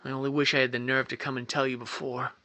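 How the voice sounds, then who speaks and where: A man's voice: mid-range voice, little pitch movement, fast, bright, forceful tone, no room sound. A man playing a scared, earnest young gang kid in a 1950s-style radio drama, speaking in clipped phrases. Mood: anxious, confessing.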